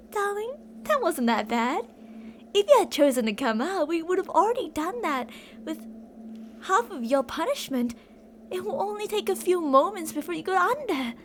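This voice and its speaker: A woman's voice: high-pitched, slight background noise. A woman playing an obsessive, possessive yandere captor in an ASMR roleplay, intimate and doting with endearments yet menacing. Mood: soothing, menacing. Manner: intimate, cooing endearments, drops to whispers. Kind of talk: ASMR roleplay, scripted monologue.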